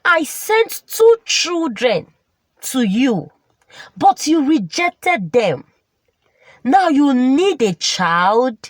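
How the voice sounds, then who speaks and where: A woman storyteller speaks as a stern angel in a moral tale. The words come slowly and deliberately, as accusing questions. The voice is fairly high and dry. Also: very animated, no echo, full character voice. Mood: stern reproach. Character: male angel, stern and reproachful.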